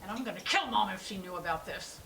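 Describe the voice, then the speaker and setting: A woman's voice: medium pitch, expressive, fast talker, vocal fry, background noise. A woman in a staged play reading, playing a concerned, persistent sister who questions her family insistently and with exasperation.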